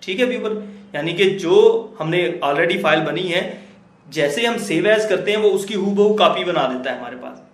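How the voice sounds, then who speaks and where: A man's voice: high-pitched, moderate pace. Man teaching, echoey room. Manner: steady explaining, often checks in with listeners. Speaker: computer tutor. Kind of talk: screen-recorded software tutorial.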